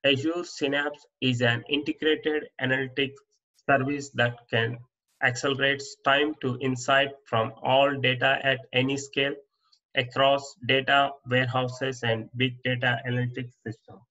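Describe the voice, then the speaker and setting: Bright-voiced man reading slide answers. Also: medium pitch, normal speed, dull audio. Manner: plain, steady reading with small restarts. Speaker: instructor. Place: voice-over on slide presentation.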